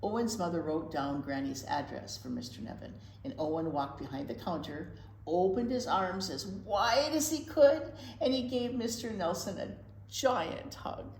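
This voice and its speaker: A woman's voice: on the low side, lively intonation, moderate pace, a little mellow. A woman presenting a children's storytime, reading a picture book expressively and chatting with simple questions for young listeners. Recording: echoey room, faint background noise.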